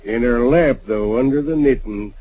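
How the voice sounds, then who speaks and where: A man giving scripted, measured narration for a radio western. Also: medium pitch, dark tone. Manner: measured storytelling delivery.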